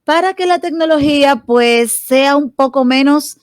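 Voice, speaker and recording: A Dominican woman guest on a radio show speaks in a fairly high voice. The sound is dry and close to the mic, and she backs others up with short affirmations. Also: measured pace, no room sound. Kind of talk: radio interview.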